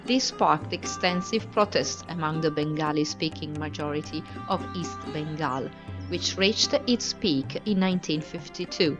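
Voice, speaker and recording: A woman giving a scripted documentary narration in an even, informative reading style. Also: low voice, measured pace, over music.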